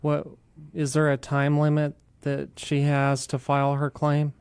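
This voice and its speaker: A man's voice: a little high, completely flat delivery, a little slow, a little mellow, clear, resonant tone, no echo. A man hosting a radio talk show, asking questions and summing up for listeners. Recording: dry studio sound with music underneath.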